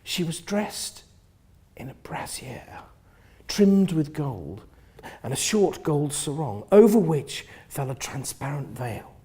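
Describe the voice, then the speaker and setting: A man narrates a novel in a husky, fairly high voice. His delivery is theatrical and expressive, with wide swings in pitch and phrases that sink into a hush. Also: slow pace. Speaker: reader of a novel. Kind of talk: dramatic first-person literary narration.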